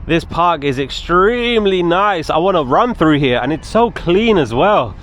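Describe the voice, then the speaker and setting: A man's voice: a little high, animated, measured pace, a bit bright. A man with an English accent talking casually and enthusiastically as a travel vlogger, leaning on emphatic words. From England. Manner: enthusiastic, repeats intensifiers. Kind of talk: casual walking travel vlog. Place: outdoors on city streets and in a park.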